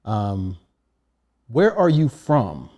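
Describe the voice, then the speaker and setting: A nervous man hosting an interview podcast, talking in a hesitant, halting, soft-spoken way. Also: on the low side, huge pitch swings, lo-fi sound. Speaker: podcast host. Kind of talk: interview conversation.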